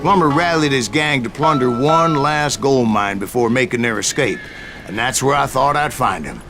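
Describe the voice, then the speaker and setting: A man's voice: medium pitch, natural voice. Game role: a man plays an aging bounty hunter with a Western drawl, folksy raconteur, medium register, music underneath. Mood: grim, proud. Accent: American Western. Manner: folksy, unhurried storyteller. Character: old bounty hunter spinning tales of his gunslinging past. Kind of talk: scripted in-character storytelling narration.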